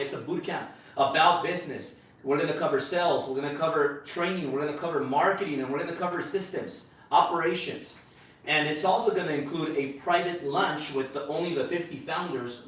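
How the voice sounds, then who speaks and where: A man with a Mexican accent hosting a casual livestream, blunt, boastful and profane as he pitches an event. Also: a bit bright, recorded from a distance. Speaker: host of a livestream. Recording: roomy echo, away from the mic.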